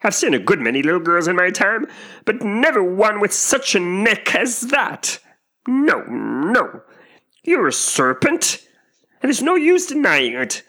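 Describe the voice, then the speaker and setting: A storybook reader voicing a frantic, suspicious mother pigeon, shrill and scolding, wailing complaints. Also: very animated, a little slow, sharp tone, exaggerated voice. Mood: contemptuous, suspicious. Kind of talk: acted storybook character voice.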